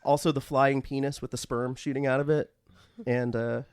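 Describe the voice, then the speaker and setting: Male podcast co-host, mid-pitched, frank and profane, casual anecdote teller. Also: level tone, normal speed, a little mellow, smooth, no room sound, studio quality. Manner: candid, unashamed, profane. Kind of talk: casual comic group chat, telling anecdotes.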